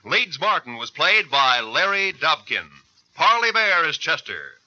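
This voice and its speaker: A man's voice: a little high, very animated, a little slow, sharp tone. A man announcing from a script in formal, emphatic 1950s radio style. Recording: muffled vintage 1950s radio broadcast. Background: music.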